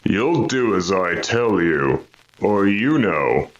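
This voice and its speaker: A man playing a menacing, domineering villain in a radio drama, speaking in slow, commanding threats with a muffled sound. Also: on the low side, big pitch swings. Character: menacing, domineering male villain behind a wall. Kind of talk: old-time radio drama acting. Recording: muffled, dull and distant.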